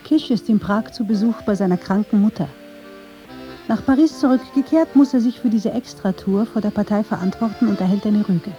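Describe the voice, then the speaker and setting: Woman narrator with a mellow voice. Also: mid-range voice, brisk, soft background music. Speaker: documentary narrator. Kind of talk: scripted radio feature narration.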